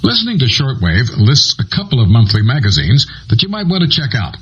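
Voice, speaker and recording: A man's voice: medium pitch, wildly swooping pitch, fairly quick, breathy edge. An American man reads a scripted instructional guide in an explanatory, conversational way, as if walking the listener through it. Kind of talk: scripted educational narration. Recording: dull lo-fi old cassette transfer.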